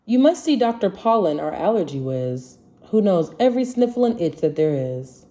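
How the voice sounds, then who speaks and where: Animated woman reading a rhyming storybook. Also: fairly low pitch, normal speed. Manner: expressive, singsong rhyming delivery. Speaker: storybook reader. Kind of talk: children's picture book read-aloud in rhyme.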